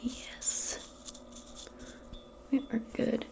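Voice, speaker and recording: A woman speaking softly and breathily, often in a whisper, in a gentle, casual ASMR role play as a modern witch. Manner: unhurried, gentle, casual murmuring. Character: modern witch giving a calming spell appointment. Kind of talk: soft-spoken ASMR personal attention role play.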